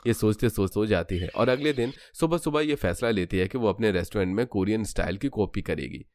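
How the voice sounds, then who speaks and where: A man's voice: fairly low pitch, brisk, darkish tone, no room sound, studio quality, faint music behind. A man narrating a cartoon story in a scripted storytelling style.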